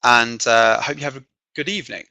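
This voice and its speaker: A man from the south of England, with an accent mixing southern and northern English, presenting a teaching webinar. He checks in with "okay?" often and fills pauses with um. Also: medium pitch, bright, forceful tone, no echo. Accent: mixed southern and northern English. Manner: frequent "okay?" check-ins and filler ums. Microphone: computer microphone on a video call.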